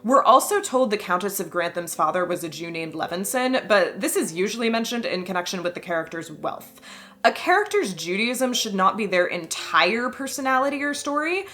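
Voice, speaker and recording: A woman's voice: medium pitch, pushed and bright. A woman, a history and costume video creator, reading a scripted video essay in a wry voice with playful emphatic asides.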